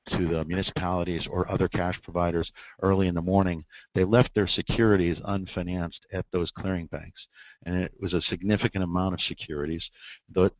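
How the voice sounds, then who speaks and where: A man with a very low voice walks through market details at a steady, explanatory pace, pausing often on um and uh. He comes through a narrow, tinny phone line that sounds dry and close, with no room around it. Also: no echo. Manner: measured, explanatory, frequent ums and uhs. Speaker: repo market expert guest. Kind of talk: conference call explanation. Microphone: telephone.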